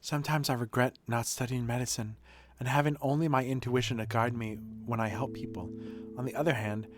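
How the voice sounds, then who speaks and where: A man reading game dialogue as an earnest, dutiful woman who runs a shelter, in a measured, formal, reflective manner. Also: mid-range voice, fairly even intonation, dry recording, close to an everyday voice. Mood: wistful. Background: music.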